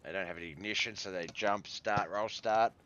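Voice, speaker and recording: An Australian man doing relaxed play-by-play commentary on a live-stream sim race, reading out lap times, with a hoarse, congested voice. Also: fairly low pitch, normal speed, muffled recording, slight background noise. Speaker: sim racing league commentator.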